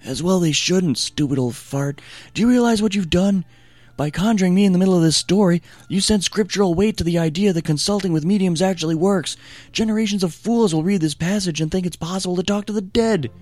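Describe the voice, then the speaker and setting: A man voicing the cranky ghost of an old prophet, scolding in a shrill, exasperated voice. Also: fairly quick, a little mellow, no room sound, lightly acted.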